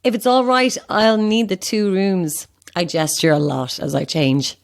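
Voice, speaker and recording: A woman's voice: mid-range voice, fairly even intonation, unhurried, close to an everyday voice. A woman playing a polite, drily sceptical actress who asks wary questions, in a naturalistic audio drama. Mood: politely evasive.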